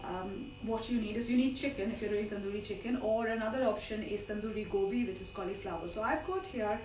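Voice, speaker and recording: A woman's voice: medium pitch, fast talker, a little mellow, distant mic. A middle-aged woman from India teaches a cooking class on a live stream, chatting as she explains. Her voice is partly lost. She sounds set back from the mic in a roomy kitchen, with some background noise. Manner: chatty, digressive instruction with asides. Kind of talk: informal live cooking demonstration.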